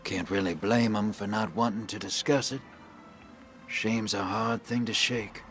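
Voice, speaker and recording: A man's voice: fairly low pitch, unhurried, a bit bright, faint music behind. An actor playing a worldly, sly male drifter in a video game, with a folksy, streetwise drawl. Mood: wry, reflective. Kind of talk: video game dialogue acting.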